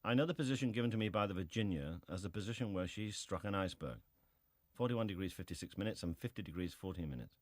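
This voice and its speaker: A ship's captain on the witness stand, with a low voice recorded dry. He is clipped, restrained and matter-of-fact, in the style of dramatized testimony. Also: animated, quick pace, dry recording. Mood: terse, restrained. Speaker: actor reading hearing testimony. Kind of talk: dramatized reading of historical testimony.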